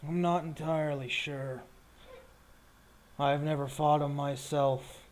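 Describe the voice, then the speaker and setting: Man playing a slow-talking, measured warrior leader. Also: on the high side, fairly even intonation, slight background noise. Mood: measured, guarded.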